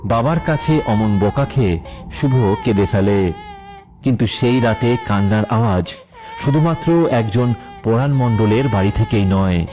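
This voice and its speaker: A man's voice: lowish voice, unhurried. A man narrating an emotional children's story from a script as the storyteller. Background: faint music.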